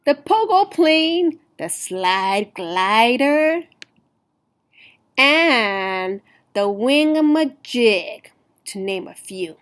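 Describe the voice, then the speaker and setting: A woman reading a picture book aloud to children in an expressive, deliberate storytelling manner. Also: high-pitched. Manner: deliberate, animated storytelling with emphasized words.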